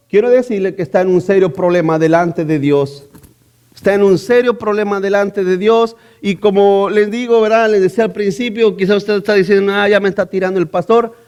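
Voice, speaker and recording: A man's voice: sits high, darkish tone. A male pastor preaching a sermon, emphatic, often repeating key phrases and asking the congregation rhetorical questions.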